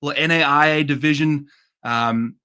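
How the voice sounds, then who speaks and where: A man's voice: fairly high pitch, steady tone, moderate pace, bright, forceful tone, clean tone. A man with a South African accent, a guest on a golf betting podcast, chatting casually with breezy, upbeat slang.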